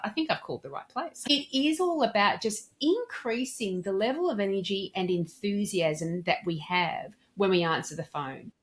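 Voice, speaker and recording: A woman explaining and giving advice in a podcast interview, articulate and emphatic, in the manner of a trainer. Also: medium pitch. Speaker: dental communication trainer and consultant, podcast guest.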